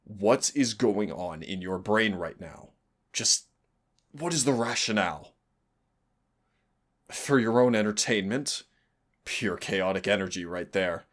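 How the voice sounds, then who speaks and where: A man's voice: fairly low pitch, expressive, slow, long pauses. A man playing a cocky, sardonic demon mentor in a scripted roleplay audio, teasing and exasperated yet fond. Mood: panicked, indignant.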